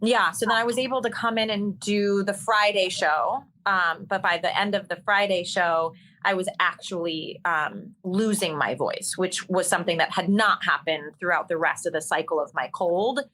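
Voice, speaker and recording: A woman's voice: fairly low pitch, moderate pace, bright, forceful tone, a little hiss or hum. A woman, a stage actor and singer, chatting casually as an interview guest, with plenty of ums and restarts. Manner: chatty, many fillers and restarts. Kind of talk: casual conversational storytelling.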